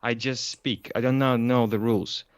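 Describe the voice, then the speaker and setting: A man's voice: mid-range voice, steady tone, normal speed, clean tone, dry recording, studio quality. A Russian man with a Russian accent, talking through his views as an interview guest, explaining with examples. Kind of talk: casual conversation.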